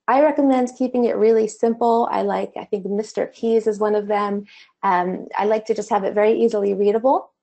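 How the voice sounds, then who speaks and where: Woman doing a screen share tutorial, kinda gravelly, a bit hoarse, chatty. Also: mid-range voice, fairly even intonation, fairly quick, mellow. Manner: casual, conversational explaining with fillers. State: slightly losing her voice. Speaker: online course instructor.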